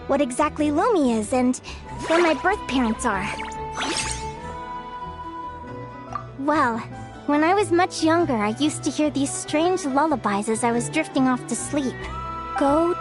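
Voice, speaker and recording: A woman's voice: sits high, moderate pace, close to an everyday voice. A woman voicing a young, curious bird fae explorer in a video game, talking in an eager, wondering way. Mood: thoughtful. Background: game music.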